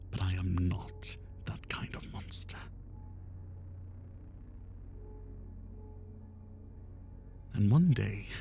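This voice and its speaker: Man playing a slow, gravelly yandere kidnapper. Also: lowish voice, darkish tone. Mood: bitter confiding. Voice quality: gravelly, often breathy whisper. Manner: slow, confiding, anguished and menacing. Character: obsessive, lovesick male yandere kidnapper, tender yet menacing. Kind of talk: roleplay ASMR monologue, intimate and dramatic.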